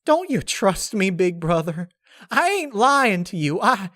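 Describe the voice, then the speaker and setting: A man's voice: high voice, sing-song, a little slow, fairly bright tone, no room sound, studio quality, pitched above the performer's own voice, full character voice. A man voicing a feisty, earnest young female farm pony with insistent, folksy country phrasing in a story reading. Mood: hurt, pleading.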